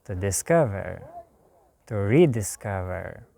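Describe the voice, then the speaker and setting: A man from Lithuania with a Lithuanian accent, giving a calm, meditative spiritual talk in a slow, soft way with long pauses. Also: low-pitched, gravelly, a little hiss or hum. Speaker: spiritual teacher.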